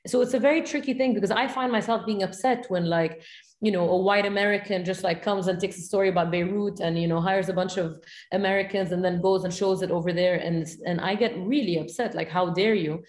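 A woman's voice: medium pitch, steady tone, brisk, darkish tone, echoey room. A woman in her thirties, a film director from Lebanon, talking candidly and thoughtfully in an interview over a video call, with many "you know"s. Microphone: video call, webcam.

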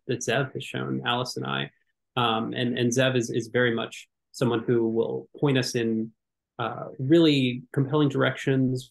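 A young man, a curatorial fellow, talking on a video call through a headset, animated and full of restarts. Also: mid-range voice, creaky, rough recording. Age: young adult. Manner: animated, gesturing, many repeated false starts. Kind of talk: panel conversation on a video call.

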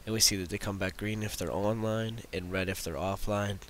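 A man presenting a software demo as a casual voice-over, explaining in an informal, unhurried way. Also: lowish voice, fairly even intonation. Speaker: tutorial presenter demonstrating own script. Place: voice-over for a screen recording. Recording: hissy voice-over recording.

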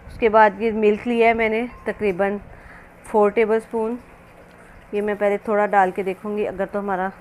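Female home-cook host, casual step-by-step narration, mid pitch, faint noise on an amateur recording. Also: level tone, normal speed, a little mellow, low-quality recording. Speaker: home cooking channel host. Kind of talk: casual recipe demonstration narration.

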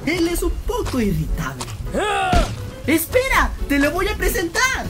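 A man's voice: very high voice, huge pitch swings, a little slow, music in the background, full character voice. A man voicing a manic young gangster cat in a cartoon, florid, theatrical and gleefully wordy. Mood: manic glee. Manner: theatrical, florid, mock-philosophical. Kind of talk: animated fan comic dub. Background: rain.

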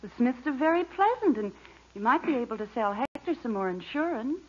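Husky, patient radio housewife. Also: female voice, high-pitched, very animated, brisk, heavily acted. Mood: placating. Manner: polite, placating. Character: patient, gently managing middle-aged wife and mother. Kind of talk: 1940s radio sitcom acting. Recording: dull 1940s radio recording.

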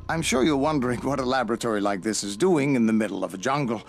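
An actor playing a laboratory doctor in a video game, measured, theatrical and intrigued. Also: male voice, mid-range voice, expressive, gravelly, faint music behind. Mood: fascinated, explanatory.

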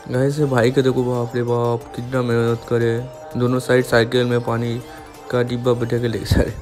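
A man's voice: mid-range voice, completely flat delivery, normal speed, darkish tone, soft background music. A young man in his twenties chatting casually to viewers while riding a motorbike on a village road, recorded outdoors with a helmet-mounted camera and road noise around him. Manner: chatty, informal, addresses viewers as guys. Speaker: motovlogger.

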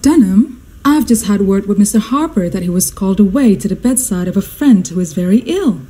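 Woman acting as society hostess, married, formal, very mellow dark tone, medium pitch, amateur radio play. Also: animated, a little fast, close to an everyday voice. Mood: matter-of-fact.

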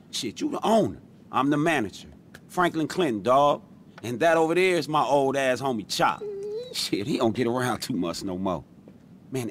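A man's voice: mid-range voice, slight background noise, natural voice. A man acting a confident, easygoing street hustler turned businessman in a video game, speaking casual, persuasive slang. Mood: welcoming.

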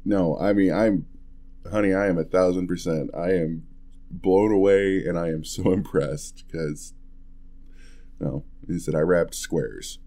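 A man playing an affectionate, playful young husband in an ASMR roleplay, talking softly and tenderly in a casual, hesitant way. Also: sits low, a little slow. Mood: playful, admiring. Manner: soft, hesitant, chuckling, tender. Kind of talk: ASMR boyfriend roleplay, intimate casual chat.

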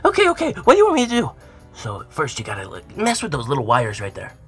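A man's voice: medium pitch, huge pitch swings, quick pace, over music. A man voicing a bratty, mischievous young turtle-monster boy with a whiny, taunting manner in an improvised comic skit. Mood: whiny, incredulous.

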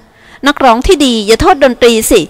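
A woman's voice: sits high, normal speed, in a large hall, voice pushed up, lightly acted. A woman narrator voicing a bold, teasing young female show dancer who speaks her mind. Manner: teasing, outspoken.

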